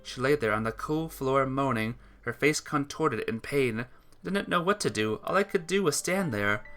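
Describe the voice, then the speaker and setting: A bright, forward voice narrates a sentimental story in earnest. The reading trips slightly now and then, and music plays behind it. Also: medium pitch, animated, normal speed. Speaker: visual novel player reading the text aloud.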